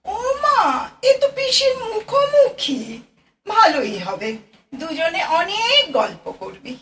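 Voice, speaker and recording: A woman playing a young niece in an audio play, teasing and solicitous, full of exclamations and gentle bossing. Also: extremely high pitch, sing-song, takes it slowly, recorded from a distance, slight background noise, exaggerated voice. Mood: caring. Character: caring, teasing young niece seeing her aunt off. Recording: roomy, echoing, away from mic.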